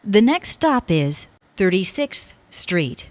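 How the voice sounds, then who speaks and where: Slow-paced woman announcing train stops. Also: mid-range voice, very animated, dry recording, dull audio. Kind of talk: scripted transit announcements.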